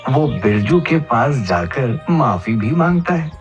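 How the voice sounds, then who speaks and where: A man's voice: medium pitch, big pitch swings, takes it slowly, highs cut off. A man narrating a scripted cartoon moral story. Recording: faint background music under the voice.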